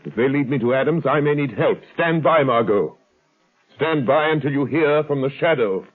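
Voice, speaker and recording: An actor playing a calm, resolute gentleman detective who speaks with firm, measured assurance in a 1930s radio drama. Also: mid-range voice, soft background music, natural voice. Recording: tinny old radio broadcast.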